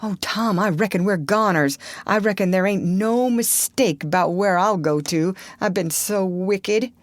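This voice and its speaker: A woman's voice: medium pitch, a little slow, no room sound, voice pushed up, lightly acted. A woman voicing a ragged, superstitious boy with a drawl who talks in a fearful whisper. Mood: despairing. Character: ragged superstitious boy, timid and rough-spoken.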